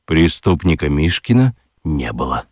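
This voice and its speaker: Мужчина-рассказчик детского радиоспектакля читает выразительно, с драматическими паузами. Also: male voice, rumbling low, very animated, a little mellow, breathy edge, no echo, clean studio sound. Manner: deliberate, dramatic pauses. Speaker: narrator. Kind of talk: expressive scripted storytelling for children's radio play.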